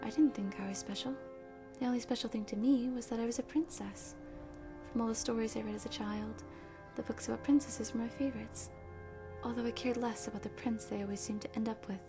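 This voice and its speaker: A young woman playing an earnest, sweet runaway princess in a video game, with a soft, sometimes breathy delivery. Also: a little high, steady tone, a little fast, over music, natural voice. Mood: gentle, reflective. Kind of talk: video game character dialogue.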